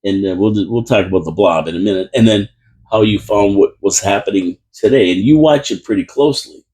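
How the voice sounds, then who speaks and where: A man with a fairly low, husky voice hosts a live talk radio show from a small studio. He rambles in a relaxed, chatty way, trailing off and restarting his sentences as he goes. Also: fairly quick, poor audio. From Canada. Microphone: studio microphone.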